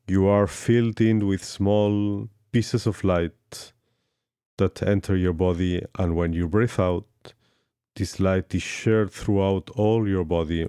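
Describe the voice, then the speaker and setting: Very deep, slow male meditation guide. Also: dark tone, clean tone, dry recording, studio quality. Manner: slow, soothing instructions with long pauses. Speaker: yoga instructor. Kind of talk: guided yoga nidra meditation.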